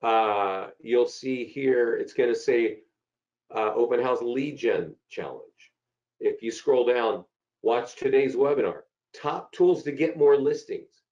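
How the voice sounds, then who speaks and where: A man with a hoarse voice from a cold, hosting a live software webinar and chatting through questions from viewers. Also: mid-range voice, takes it slowly. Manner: chatty, repeats himself, answers chat questions. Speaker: webinar host. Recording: dull lo-fi call audio.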